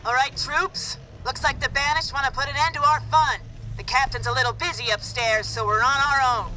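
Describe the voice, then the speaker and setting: A man's voice: extremely high pitch, fairly even intonation, a little fast, pushed and bright, exaggerated voice, low-quality recording. An actor playing a confident, easygoing male super-soldier squad leader in a video game, cocky and rallying. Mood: wry resolve. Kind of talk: cinematic video game acting.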